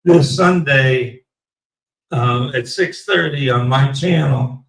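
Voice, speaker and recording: A man closing out his live stream in a rambling, halting way, his voice going raspy. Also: mid-range voice, a bit bright, roomy sound, far from the mic, rough recording. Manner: rambling, slow and halting with many fillers. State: voice getting raspy. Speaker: livestream host. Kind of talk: casual live stream sign-off.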